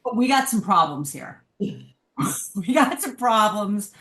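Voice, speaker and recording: An echoey, roomy recording of a woman on a podcast panel. Her voice sits at an average pitch as she talks casually and candidly. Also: expressive, unhurried, a bit bright. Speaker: podcast guest, television writer.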